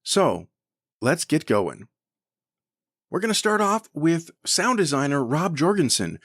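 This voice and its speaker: A man's voice: fairly high pitch, sing-song, takes it slowly, fairly bright tone, no echo, studio quality. A man hosting a podcast in a conversational, enthusiastic manner. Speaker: podcast host.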